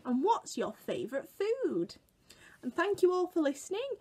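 A British woman in her twenties telling a picture-book story to young children in an expressive, exaggerated way, recorded indoors at home. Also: very high-pitched, normal speed. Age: 20s. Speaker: children's museum worker reading a story to children. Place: small room at home, indoors.

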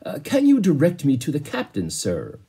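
A man's voice: a little high, wildly swooping pitch, normal speed, gravelly, dark tone, slightly rough, pitched above the performer's own voice, full character voice. A man voicing a polite, composed butler in a storybook, speaking formally. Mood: courteous. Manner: formal, courteous. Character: polite, unruffled English-style butler, a grown man.

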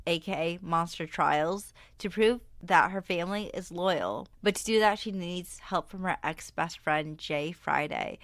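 A chatty young woman with a stuffy nose from a cold, co-hosting a podcast in a fairly low voice. She talks fast with quick asides. The sound is dry and close to the mic. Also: no echo. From Vermont. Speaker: podcast co-host. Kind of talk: casual chat.

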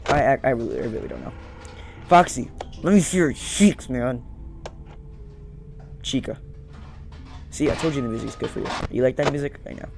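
A man's voice: mid-range voice, lively intonation, normal speed, gravelly, pauses often. A young man giving casual gameplay commentary, rambling and muttering as he talks to the game's characters. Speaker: gaming streamer. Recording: loud game music behind voice.

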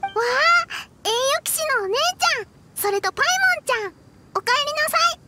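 A woman's voice: very high-pitched, expressive, fast, noisy background. A woman playing a sweet, curious little girl in an anime game, with a naive, childlike manner. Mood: delighted. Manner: childlike, naive, refers to herself by name. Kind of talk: anime game character dialogue. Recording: loud music behind voice.

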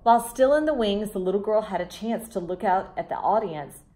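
A woman in her thirties reads a picture book to young children at a middle pitch. Her storytelling is expressive and teacherly, with simple explanations added along the way. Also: fairly quick. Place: front room of a house, indoors.

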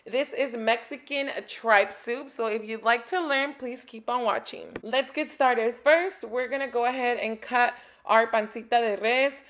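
A young woman talks through a home recipe as voice-over in a chatty, upbeat way, slipping in Spanish words for the dishes. Her voice sits a little high for a woman. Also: unhurried, few pauses, fairly bright tone, a little hiss or hum. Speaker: cooking channel host. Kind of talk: voice-over cooking tutorial.

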